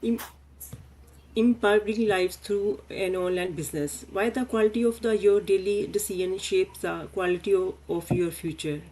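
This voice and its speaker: A woman, a business mentor, introducing herself and reading the topic slowly with some stumbles on an online panel. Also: mid-range voice, steady tone, dark tone, a little hiss or hum, rough recording.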